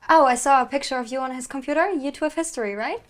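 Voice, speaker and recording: A woman's voice: high voice, fast talker, close to an everyday voice. A woman playing a prying, possessive woman with false friendliness in an audio drama, pointed in manner. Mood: probing, veiled threat. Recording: music behind the voice.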